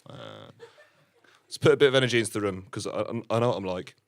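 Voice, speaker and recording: A man performing live musical stand-up on stage, talking in an awkward, understated, halting way. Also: on the low side, slight background noise. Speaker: musical comedian with keyboard. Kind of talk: live stand-up with spoken comic songs. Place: live stage before an audience. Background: keyboard music.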